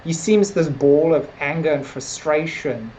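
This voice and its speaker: A woman's voice: deep voice, lively intonation, a little slow, mellow, highs cut off, slight background noise. A woman co-hosting a casual TV review discussion, speaking in a hedging, conversational way with many filler words. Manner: hedging, conversational, frequent "like" and "sort of". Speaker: podcast co-host discussing a TV series.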